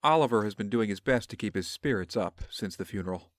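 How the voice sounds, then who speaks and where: A man's voice: fairly low pitch, lively intonation, fast, no room sound, close to an everyday voice. A man playing a genial, unruffled friend in an audio drama, affable, wry and reassuring.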